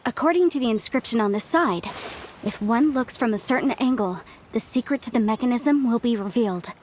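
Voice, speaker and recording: A woman's voice: a little high, expressive, brisk, a little mellow, a little hiss or hum, lightly acted. An actress playing an earnest young woman archaeologist in a video game, explaining her research. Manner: earnest, explanatory, slightly exasperated. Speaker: game character.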